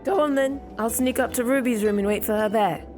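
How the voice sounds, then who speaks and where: A woman's voice: on the high side, brisk, music in the background, natural voice. A woman playing a determined young leader of street irregulars, decisive and no-nonsense, in an audio drama. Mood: brisk, commanding. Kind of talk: children's audio drama acting.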